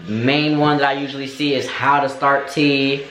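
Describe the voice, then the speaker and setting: Young man, vlogger, sassy and candid, slang-heavy talk, mid pitch, recorded in a roomy echoey space. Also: little pitch movement, moderate pace, a bit bright, a little hiss or hum. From United States. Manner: sassy, candid, slangy, addresses viewers as girl. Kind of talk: casual Q&A chat to camera. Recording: echoey room.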